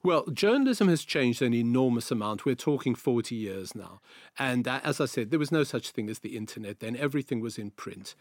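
A man in his sixties from South Africa with a South African accent, telling his career story as a guest in an interview, in a measured, anecdotal way. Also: medium pitch, lively intonation, no echo, studio quality. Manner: measured, anecdotal, repeats phrases. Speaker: guest, veteran journalist.

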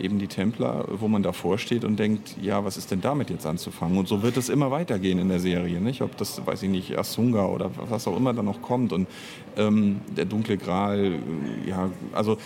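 Man, middle-aged, low voice, casual interview talk, reflective, self-interrupting, slight background noise. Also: darkish tone. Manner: thinking aloud, hesitant, self-interrupting, reflective. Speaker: audio drama writer and director being interviewed.

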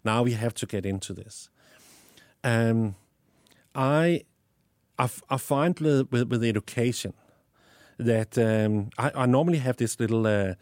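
A man's voice: mid-range voice, a little slow, long pauses, a little mellow, no echo, clean studio sound. A man from Denmark with a Danish accent, answering interview questions about teaching, often repeating words as he thinks. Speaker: guest, STEM educator.

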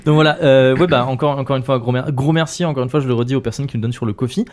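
A man's voice: mid-range voice, fairly even intonation, very fast, darkish tone, a little hiss or hum. A man co-hosting a casual podcast, enthusiastically thanking supporters and collaborators. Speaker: podcast co-host.

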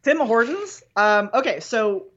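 A woman's voice: fairly high pitch, big pitch swings, unhurried, highs cut off. A young woman with a French Canadian accent, chatting hesitantly with many fillers as a guest on a video call. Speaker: guest caller, talent show winner. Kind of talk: casual chat on a video call.

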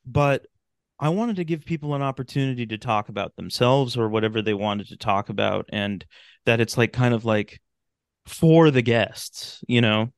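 A man's voice: medium pitch, moderate pace, smooth, no echo. Male podcast guest, clean resonant voice, dry sound, casual and rambling delivery. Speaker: podcast guest, talk show host and radio drama maker. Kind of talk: casual interview chat.

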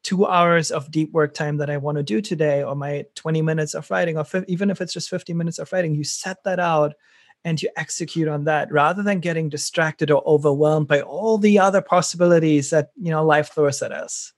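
A middle-aged man with a German accent and a somewhat high, gravelly voice, telling his story eagerly and restarting his sentences often. The sound is dry and close, with no room around it. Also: fairly even intonation, a little fast, no echo. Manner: enthusiastic, rambling with restarts. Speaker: startup founder. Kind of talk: conversational interview.

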